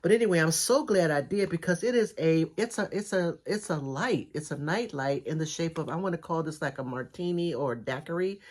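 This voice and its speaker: A middle-aged woman with a raspy, congested voice, chatting enthusiastically through a thrift haul video. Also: low voice, fairly quick, few pauses, a little mellow. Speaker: thrift reseller vlogger. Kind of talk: casual haul video chat.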